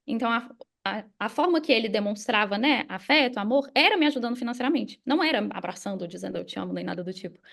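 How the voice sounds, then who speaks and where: A Brazilian woman telling a personal story casually as a podcast guest, animated and informal, often checking in with listeners. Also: on the high side. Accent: Brazilian Portuguese.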